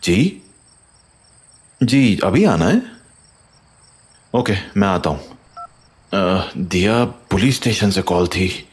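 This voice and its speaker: A man playing a concerned, supportive husband in a horror audio drama, deliberate, with an Indian accent and English phrases mixed in. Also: deep voice, lively intonation, husky, full character voice. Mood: businesslike. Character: concerned supportive husband, skeptical but trusting.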